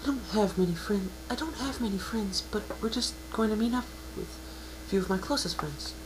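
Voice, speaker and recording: A woman voicing a shy, gentle young female pegasus pony, hesitant, polite and caring, in a fan dramatic reading. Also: mid-range voice, lively intonation, fairly quick, close to an everyday voice. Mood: gentle, friendly. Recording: noisy home recording with mains hum.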